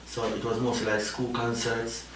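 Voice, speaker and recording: A man's voice: medium pitch, monotone, normal speed, a bit bright. A Jamaican man talking through his story in a rambling, easygoing way, often adding "you know?". His voice sits far from the mic in a very echoey room, with a faint hiss of noise behind it. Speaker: reggae artist guest. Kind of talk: casual interview talk.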